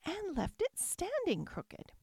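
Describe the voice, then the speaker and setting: A woman's voice: extremely high pitch, huge pitch swings, slow pace, creaky, a little mellow, no echo, clean studio sound, voice pushed up, heavily acted. A woman voicing a middle-sized mother bear in a storybook tale, fussy and complaining in a singsong way. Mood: put out.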